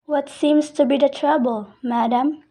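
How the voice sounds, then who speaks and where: A woman's voice: high-pitched, steady tone, a little slow, mellow. A woman playing a formal, polite telephone operator in a radio play.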